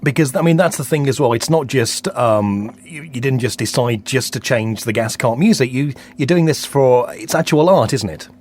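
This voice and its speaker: A man hosting a morning radio show, presenting and interviewing in a lively broadcast style. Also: mid-range voice, moderate pace, hardly any pauses. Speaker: radio breakfast show host.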